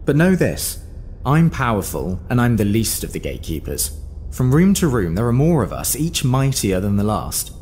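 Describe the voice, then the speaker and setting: An actor playing a powerful, officious gatekeeper in an audio drama, teasing and condescending with mock politeness. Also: male voice, medium pitch, very animated, moderate pace, a little mellow, a little hiss or hum, natural voice. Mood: taunting, boastful.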